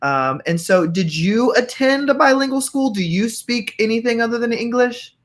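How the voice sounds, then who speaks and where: A man's voice: very high-pitched, animated, normal speed. A man hosts an interview in an informal, encouraging way. He leans on fillers and restarts as he sets up each question. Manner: warm, encouraging, many fillers. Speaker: podcast host. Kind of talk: interview hosting.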